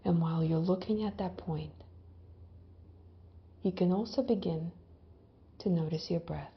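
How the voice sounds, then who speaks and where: A woman leading a guided visualization in a gentle, hypnotic way, with long pauses and soft phrase endings that trail off toward a whisper. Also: on the low side, muffled recording, slight background noise. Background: background music.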